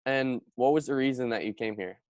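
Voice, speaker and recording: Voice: mid-range voice, brisk, a little mellow, no echo. A young co-host who asks questions eagerly and keeps encouraging the guest. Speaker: podcast co-host. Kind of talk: casual interview chat.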